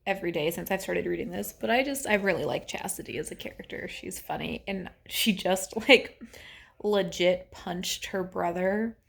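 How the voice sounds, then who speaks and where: Young woman bookish vlogger, slightly low voice, rambly and casual, soft hushed moments. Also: normal speed, creaky. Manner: chatty, rambling, many "like"s and "um"s. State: congested. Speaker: book vlogger. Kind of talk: casual reading vlog chat.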